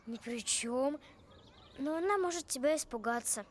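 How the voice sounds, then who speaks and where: A woman voicing an earnest, curious schoolgirl with a taste for adventure in an animated film. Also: high voice, moderate pace, a little hiss or hum. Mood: reassuring.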